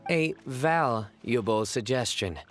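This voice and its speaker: A man voicing a solemn young warrior in a video game, formal and deadpan. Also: mid-range voice, takes it slowly. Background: game music.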